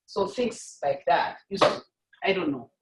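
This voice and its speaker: A woman with Kirundi as her first language, talking in a class discussion, halting and repeating words. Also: on the low side, animated. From Burundi. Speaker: interpreter trainee. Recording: roomy, distant, faint noise.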